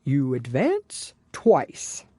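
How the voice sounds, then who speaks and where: A melancholy turtle creature from a storybook, acted out by a woman. The delivery is very slow and drawn-out, with solemn, swooping phrases. The sound is close and dry. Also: extremely low pitch, huge pitch swings, mellow, breathy edge, no room sound, heavily acted. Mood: mournful, slow. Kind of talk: children's storybook character voice.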